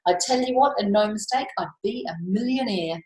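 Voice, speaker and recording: A woman's voice: mid-range voice, brisk, a little mellow, echoey room, natural voice, rough recording. A woman voicing a grumpy, indignant male koala from a storybook, blunt and protesting in slangy speech.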